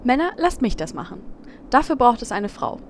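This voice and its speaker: A woman's voice: a little high, sing-song, normal speed, a little hiss or hum. A woman playing a stern, no-nonsense leader of adventurers in a fantasy parody audio drama. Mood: in charge. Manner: stern, dry.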